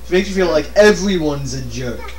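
A man's voice: fairly high pitch, lively intonation, normal speed. A man giving casual, joking game commentary, riffing in a silly, repetitive way. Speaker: let's play co-commentator. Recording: roomy, distant mic, faint noise.

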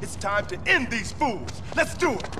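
High-voiced commanding gang warlord. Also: male voice, sing-song, normal speed, exaggerated voice. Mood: resolute. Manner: commanding, streetwise, urgent. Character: commanding, determined male street gang warlord. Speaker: gang leader. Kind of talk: gritty video game cutscene acting. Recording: game dialogue over music.

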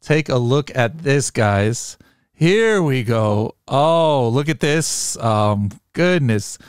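A man's voice: medium pitch, animated, slow, clean tone, no room sound. Upbeat man hosting a podcast, dry studio sound. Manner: upbeat, chatty, joking. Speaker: podcast host. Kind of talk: casual interview chat. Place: home studio with headset and boom mic. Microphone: studio microphone on boom arm, headphones.

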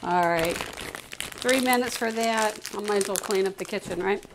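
A woman's voice: a little slow, background noise. A middle-aged woman chatting casually as she cooks in a home kitchen, recorded by a camera across the room. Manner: chatty, talks to a grandchild in a high sing-song voice. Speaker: home cook and show regular. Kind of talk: casual cooking vlog chat. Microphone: camera on a tripod across the kitchen.

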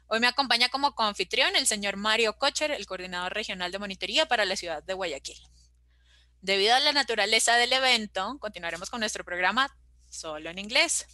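A woman's voice: fairly high pitch, bright, forceful tone, no echo. A native Spanish-speaking woman from Ecuador hosting a formal ceremony, speaking in a ceremonial tone. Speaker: ceremony host.

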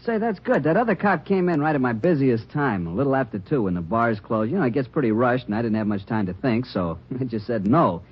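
A man's voice: medium pitch, lively intonation, brisk, few pauses, husky. An actor playing a talkative, slangy coffee shop counterman in an old radio crime drama. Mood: chatty, casual. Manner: folksy, talkative. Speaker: supporting actor. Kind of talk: 1950s radio acting. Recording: muffled old radio recording.

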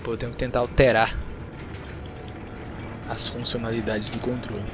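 A man's voice: medium pitch, little pitch movement, normal speed. A man with a Brazilian accent giving casual video game commentary as he plays. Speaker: gaming YouTuber. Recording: noisy, game sound behind voice.

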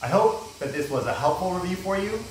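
A man's voice: high-pitched, a little fast. Young man reviewing in an echoey room. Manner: relaxed, with frequent ums and restarts. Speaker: product reviewer. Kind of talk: casual product review. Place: bare indoor room with wooden floor. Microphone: camera mic, near then from a distance. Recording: echoey room, faint hiss.

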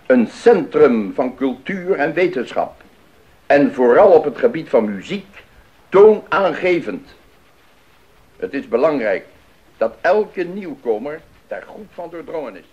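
A self-important official in a radio drama, a man with a fairly high, animated voice. He lectures formally and with emphasis. The recording lacks crispness. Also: measured pace. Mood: proud, emphatic. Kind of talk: Dutch radio drama acting. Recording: dull 1980s radio recording.

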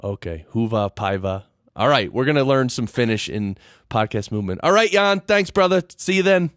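A man's voice: mid-range voice, expressive, fairly quick, a bit bright, dry recording, studio quality. A man hosting a podcast interview, joking and enthusiastic in casual chat. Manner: jokey, enthusiastic. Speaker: podcast host.

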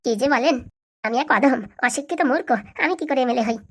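A woman's voice: high voice, fast talker. A woman voicing a crafty village schemer lad in a comic cartoon, sly, insistent and mock-humble.

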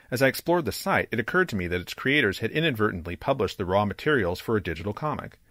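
A man narrating a scripted academic video essay in a measured, articulate, explanatory way. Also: fairly low pitch, a bit bright, dry recording. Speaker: presenter, digital comics creator. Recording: music under the voice. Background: music.

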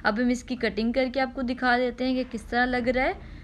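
A woman host, giving a patient step-by-step cooking demonstration at home, repeating instructions and pausing with "ah" between steps. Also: fairly high pitch, level tone, a little hiss or hum.

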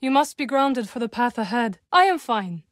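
An actress voicing a composed, dry-witted young witch warrior in a video game, speaking in measured, formal phrases. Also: a little high, expressive, no echo, close to an everyday voice. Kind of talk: video game character dialogue.

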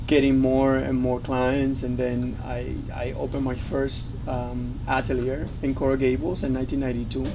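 A man's voice: mid-range voice, noisy background. A middle-aged man from Cuba, talking casually in an interview on a factory floor, with many fillers and restarts. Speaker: fashion designer. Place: large factory floor, indoors.

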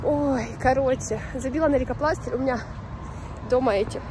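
Young female vlogger, high-pitched, informal and chatty, a bit sniffly with a cold, recorded on a noisy street. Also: a little fast. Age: young adult. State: slightly unwell with a runny nose. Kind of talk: casual walking vlog chat. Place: outdoors on a city street beside traffic. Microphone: phone at arm's length. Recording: clear outdoor street and traffic noise.

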